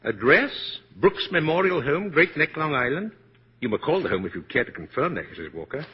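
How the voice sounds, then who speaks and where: Twinkly elderly Santa, hugely expressive, 1940s radio play, dull reverberant recording. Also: male voice, fairly high pitch, a little fast, a little hiss or hum. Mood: gently insistent. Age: older. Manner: jovial, chuckling, gently teasing. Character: kindly, twinkling old man claiming to be Santa Claus.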